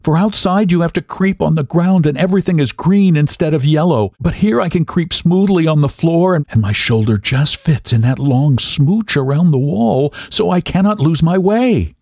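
A man's voice: fairly high pitch, animated, normal speed, hardly any pauses, darkish tone, dry recording, clean studio sound, voice pushed up. A man reading dramatically as an unhinged, secretive, defiant woman narrating a gothic story. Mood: giddy, defiant. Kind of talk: dramatic first-person audiobook reading.

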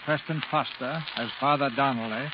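Formal male 1940s radio drama presenter, mid-pitched, dull and very noisy sound. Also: normal speed. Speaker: producer and host of radio theater.

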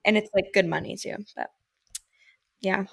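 A young adult woman hosting a podcast, chatting in a relaxed way with plenty of fillers. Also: mid-range voice, normal speed, gravelly, no room sound. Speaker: podcast host, nurse. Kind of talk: casual chat.

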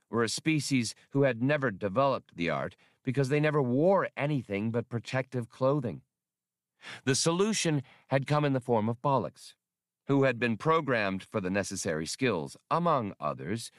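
A man's voice: mid-range voice, dry recording, clean studio sound. A man reading a novel aloud as an audiobook narrator, in a scripted storytelling style.

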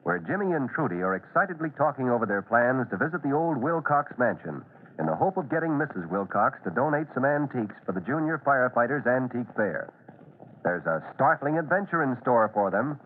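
Man, radio announcer, medium pitch, dramatic narration, emphatic, tinny vintage recording. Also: slight background noise. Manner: emphatic, polished announcer delivery.

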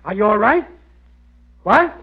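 An actor playing a good-natured, gullible, middle-aged working-class American husband who blusters ungrammatically in a 1940s radio comedy. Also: male voice, sits high, big pitch swings, a little slow, husky, heavily acted. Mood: bewildered. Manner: blustery, ungrammatical everyman talk. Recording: muffled vintage radio recording.

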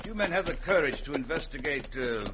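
A man plays a suave, cold-blooded villain from an old radio western. He is pompous and amused, with a raised pitch and sweeping intonation, on a noisy vintage recording. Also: lively intonation, fairly quick, close to an everyday voice. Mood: smug, amused. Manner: pompous, theatrical, condescending.

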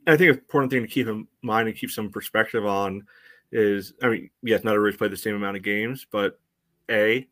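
An American man with a fairly low voice talks casually as a podcast co-host. His analysis comes out measured and halting, with a dry sense of humour. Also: a bit bright. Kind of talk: casual sports analysis chat.

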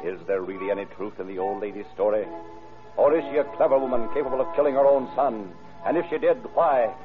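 An actor playing a grave, dramatic narrator of an old-time radio crime story. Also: male voice, mid-range voice, fast, over music, natural voice. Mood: brooding, urgent. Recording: tinny, band-limited vintage radio recording.

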